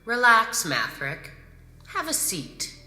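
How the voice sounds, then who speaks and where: An actress playing a composed, commanding imperial grand marshal in a sci-fi audio drama, measured and probing. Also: female voice, a little high, wildly swooping pitch, bright, forceful tone, full character voice. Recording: echoey hall reverb, music underneath.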